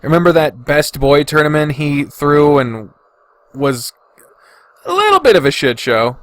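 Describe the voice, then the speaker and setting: A man's voice: on the high side, big pitch swings, a little slow, a bit bright, dry recording. A man hosting a podcast alone, talking casually and thinking aloud with informal, loose phrasing. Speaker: podcast host. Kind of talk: casual solo ranking commentary.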